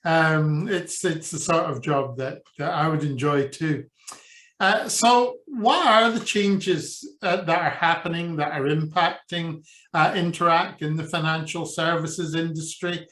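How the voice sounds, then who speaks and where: A man's voice: on the high side, measured pace, a bit bright. A man in his sixties interviewing conversationally over a video call from a furnished room. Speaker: interviewer, teacher. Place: furnished home office or living room. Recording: roomy, echoing video call.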